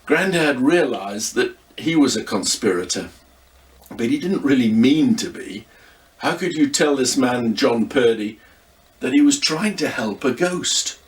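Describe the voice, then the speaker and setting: A man's voice: mid-range voice, lively intonation, a little slow. A man reads a children's story aloud with lots of expression, turning now and then to speak to the listener. His voice swings widely and sounds a little distant from the mic.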